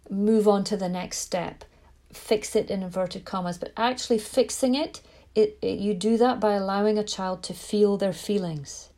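Scottish woman hosting a gentle parenting podcast. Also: medium pitch. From Scotland. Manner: gentle, reflective, with frequent restarts. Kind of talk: solo podcast talk.

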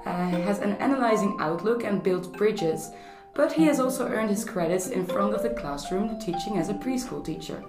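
A woman from the Netherlands hosts a podcast interview over a video call and asks her guest questions in a friendly way. Her voice sits in the middle of the range. The sound is roomy, and music plays clearly underneath. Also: mid-range voice, lively intonation, normal speed, hardly any pauses. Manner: friendly, laughs at her own jokes. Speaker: podcast host.

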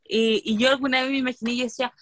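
Young chilean woman on a podcast, kinda high voice, rambling, dry close sound. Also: steady tone, racing, a bit bright, no room sound. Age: young adult. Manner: rambling, restarts her thoughts. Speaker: podcast co-host. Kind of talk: casual chat.

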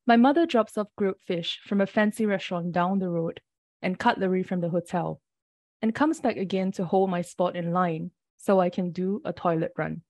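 Woman poet, warm dry close voice, measured unhurried reading, a bit early-morning rough. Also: fairly low pitch, dry recording. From Singapore. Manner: measured, deliberate reading with brief asides. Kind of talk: poetry reading.

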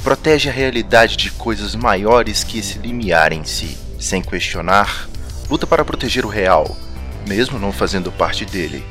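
An actor playing a grave, portentous veteran of a secret patrol briefing a recruit in a sci-fi audio drama trailer. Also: male voice, lowish voice, lively intonation, unhurried, a bit bright, soft background music. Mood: grave, solemn.

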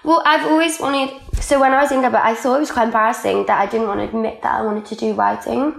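A young Welsh woman talks in a loose, chatty way, scattering "like" through her sentences. Her voice carries a gravelly edge, and the room around her sounds echoey. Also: fairly high pitch, steady tone, fast. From Wales. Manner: chatty, lots of "like" fillers. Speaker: guest. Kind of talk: casual conversational interview.

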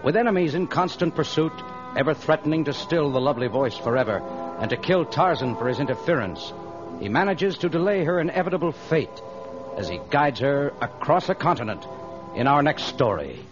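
A man's voice: a little high, very animated, fairly quick. A man announcing in dramatic, scripted 1930s radio narration style. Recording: dull old radio transcription. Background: orchestral music.

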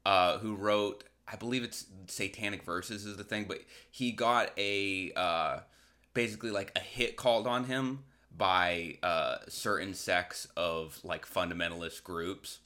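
A man's voice: low-pitched, steady tone, a little slow, bright, forceful tone. An American man from Massachusetts hosting a solo podcast, delivering a casual, rambling comedic monologue with an irreverent, crude, sardonic manner. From Massachusetts, United States.

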